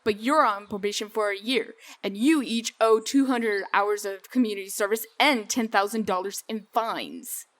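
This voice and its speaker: A woman voicing a brisk, shrewd female official in a comic dub, firm, dry and sardonic in manner. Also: mid-range voice, fairly bright tone, close to an everyday voice. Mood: stern, businesslike.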